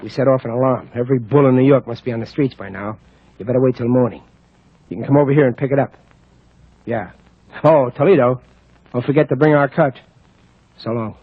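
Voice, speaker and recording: A man playing a gruff, wisecracking small-time crook in an old radio play, talking in brusque tough-guy slang. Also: medium pitch, dry recording, lightly acted. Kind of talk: 1940s radio acting. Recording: muffled old radio recording.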